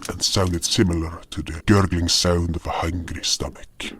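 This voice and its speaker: A man's voice: deep voice, a bit bright, slight background noise. A man reading a scripted first-person fantasy narration as the storyteller of an audio drama. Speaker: narrator and voice actor.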